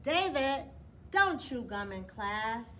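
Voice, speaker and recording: A woman's voice: fairly high pitch, big pitch swings, takes it slowly, fairly bright tone, exaggerated voice. A woman voicing a stern schoolteacher who scolds a pupil with firm, emphatic commands. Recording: clear background noise.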